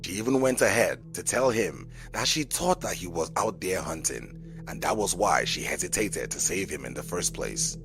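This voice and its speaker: A male storyteller with a very deep, gravelly voice narrates a folk tale. His delivery swings expressively up and down as he reads the scripted story. Music plays behind him. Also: lively intonation, moderate pace. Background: background music.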